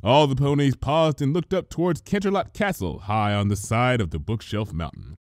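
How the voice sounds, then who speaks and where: A man narrating a scripted story as an expressive reader. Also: mid-range voice, normal speed, no echo, clean studio sound. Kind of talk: scripted narration, expressive fan fiction reading.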